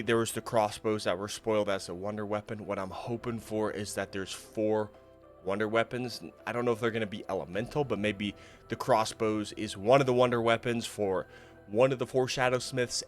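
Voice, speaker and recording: A man talking casually through game menus as he thinks aloud, still recovering from an illness and sounding a little rough. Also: fairly low pitch, fairly bright tone. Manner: chatty, thinking aloud while browsing menus. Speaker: gaming YouTuber. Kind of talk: casual gameplay commentary.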